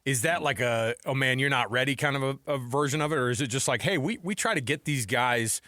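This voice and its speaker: A man with an American accent and a bright, forceful voice, close and dry in the sound. He co-hosts sports talk radio with casual banter, rambling through a thought and rewording it several ways. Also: mid-range voice, fairly quick, no room sound. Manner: informal, rambling, rephrases questions.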